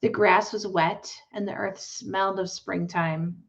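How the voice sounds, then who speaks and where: A woman reading a children's storybook aloud as scripted narration, in a deliberate storytelling manner. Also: mid-range voice, fairly even intonation, vocal fry, lo-fi sound. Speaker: storybook reader.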